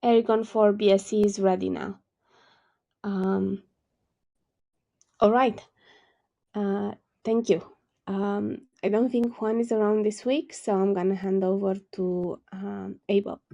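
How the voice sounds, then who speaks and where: A woman with a cold, methodically reading out software release updates on a video call. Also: mid-range voice, unhurried, creaky, long pauses, lo-fi sound. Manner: steady, methodical, many ums. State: has a cold. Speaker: presenter of a regular segment.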